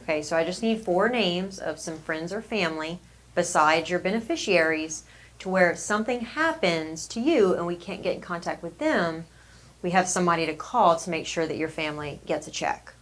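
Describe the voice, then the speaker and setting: A woman's voice: fairly low pitch, normal speed. A woman acting out a sales call, patiently explaining things and reassuring the client. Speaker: insurance agency manager. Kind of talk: sales role-play.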